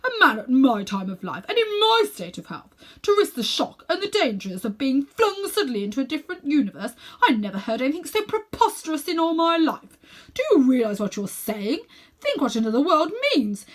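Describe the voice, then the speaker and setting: A woman's voice: high voice, big pitch swings, normal speed, pitched above the performer's own voice. A woman plays an elderly magician uncle from a children's storybook. He is sinister and full of himself, talking down to his listener with pompous, heavily stressed phrases. He takes offence easily. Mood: offended, outraged. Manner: pompous, condescending, emphatic.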